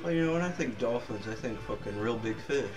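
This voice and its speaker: A man's voice: mid-range voice, expressive, fast talker. A man mocking a video game in casual group commentary, cursing heavily. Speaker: guest gameplay commentator. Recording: game music clearly under the voice.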